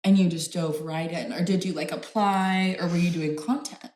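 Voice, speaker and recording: A woman's voice: on the low side, fairly even intonation, normal speed, darkish tone. A woman hosting a podcast, upbeat and chatty, asking questions and cheering her guest on. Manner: upbeat, chatty, frequent "that's awesome". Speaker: podcast host. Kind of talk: casual interview chat. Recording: echoey room.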